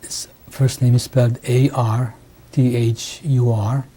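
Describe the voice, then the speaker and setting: A Hungarian-born man in his sixties recalls his life slowly, stopping and restarting his sentences. His voice is very dark and mellow. It stays flat and level, with little rise or fall. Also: medium pitch, breathy edge. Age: 60s. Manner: halting, with many restarts and pauses. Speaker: interviewee. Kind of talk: oral history testimony. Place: home interview.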